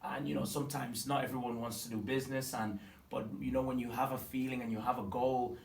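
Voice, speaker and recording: A man's voice: mid-range voice, quick pace, vocal fry. Tanzanian man in his twenties, echoey. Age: 20s. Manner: animated storytelling with many fillers and restarts. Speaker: business owner interviewed. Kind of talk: casual interview. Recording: echoey room, mic at a distance, faint ambience.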